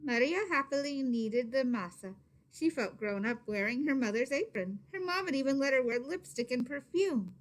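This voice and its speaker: A woman reading a children's picture book aloud expressively, pausing to explain and put questions to young listeners. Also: high voice, expressive. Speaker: read-aloud storyteller, teacher.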